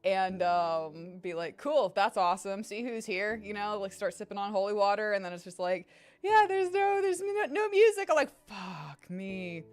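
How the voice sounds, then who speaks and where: A woman's voice: mid-range voice, lively intonation, normal speed. A young woman streamer chatting casually with her viewers, her voice strained and tired, rambling and swearing freely. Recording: faint music behind voice. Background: faint music.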